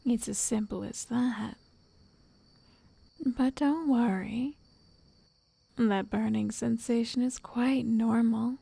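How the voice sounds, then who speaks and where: Woman acting a slow, breathy, obsessive witch. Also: a little high, steady tone, no echo, heavily acted. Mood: patient, controlling. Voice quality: soft, breathy, whispery. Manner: slow, coaxing, possessive endearments. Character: obsessive lovestruck witch, a woman, coaxing and quietly controlling. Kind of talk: ASMR roleplay.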